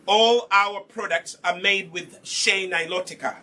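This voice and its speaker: A man's voice: high-pitched, steady tone, unhurried, bright, forceful tone. A man from Uganda, patiently demonstrating hair care in a live online lesson and answering his own questions as he goes. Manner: patient and instructive, asks rhetorical questions then answers them. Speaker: hair care instructor and product maker.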